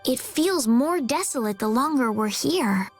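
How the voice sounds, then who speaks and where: A woman playing an earnest, gentle, polite young heroine in a video game. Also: high voice, expressive, measured pace, husky, faint music behind, exaggerated voice.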